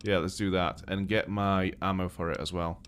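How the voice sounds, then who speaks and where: Relaxed British-accented streamer, low voice. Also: male voice, normal speed, a little hiss or hum. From Poland. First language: Polish. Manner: relaxed, thinking aloud while playing. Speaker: game streamer. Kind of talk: casual live-stream gameplay commentary.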